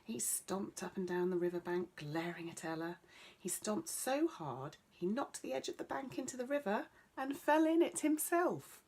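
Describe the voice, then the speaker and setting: A woman's voice: mid-range voice, sing-song, normal speed, darkish tone. A woman narrating a children's bedtime story as a storyteller.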